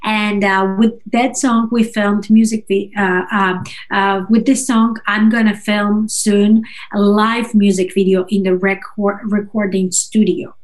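Halting woman. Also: medium pitch, flat delivery. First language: Russian and Georgian. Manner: halting, searching for words, checks with "right?". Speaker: interview guest, singer-songwriter. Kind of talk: casual conversational interview.

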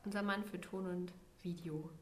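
A woman in her twenties co-hosting a casual podcast, speaking in a bright, organized way. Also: lowish voice, fairly even intonation, moderate pace. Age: 20s. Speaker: podcast co-host. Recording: echoey room.

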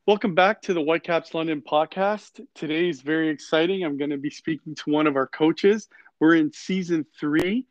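Male podcast host, slightly higher voice, effusive, halting delivery, dry and muffled lo-fi recording. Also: no echo. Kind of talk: conversational podcast hosting.

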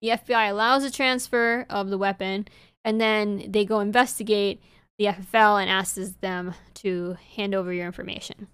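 Woman co-host, English not her first language, smooth clear voice, dry close sound, animated and rambling. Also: mid-range voice, normal speed, dry recording. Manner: animated, rambling with many fillers. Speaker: podcast co-host. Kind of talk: casual chat.

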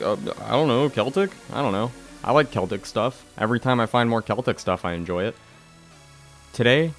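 A young man hosting a casual podcast, talking excitedly and rambling, with a tired voice. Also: on the low side, no room sound. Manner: excitable, rambling, swears, laughs often. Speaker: podcast host. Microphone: close to the mic.

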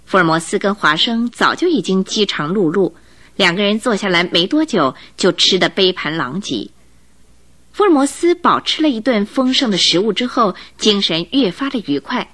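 A woman's voice: medium pitch, big pitch swings, moderate pace, fairly bright tone. A woman narrator reading scripted storytelling narration for a Chinese radio drama.

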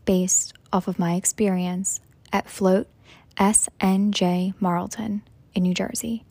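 Female meditation guide: hushed and breathy, unhurried phrases with long pauses, level delivery. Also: mid-range voice, no echo. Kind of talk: slow guided meditation narration.

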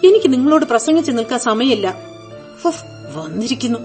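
Actress as a high-voiced, haughty young wife. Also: female voice, lively intonation, fairly quick, darkish tone, faint music behind, close to an everyday voice. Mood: defiant, scornful. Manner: coquettish, haughty, drops in English phrases. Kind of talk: Malayalam radio play acting.

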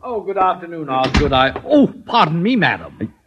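An actor playing an irascible, sarcastic middle-aged boss in an old radio sitcom, gruff and exasperated in manner. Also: male voice, fairly high pitch, very animated, normal speed, lo-fi sound. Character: irascible, sarcastic male boss of a school principal. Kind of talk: 1950s radio sitcom acting. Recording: noisy old radio, loud studio audience.

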